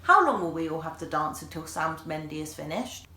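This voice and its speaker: A woman's voice: sits low, lively intonation, brisk, sharp tone. A woman voicing a clever, earnest young boy in a children's story, a little brother with a calculating manner. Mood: chiming in. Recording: echoey room.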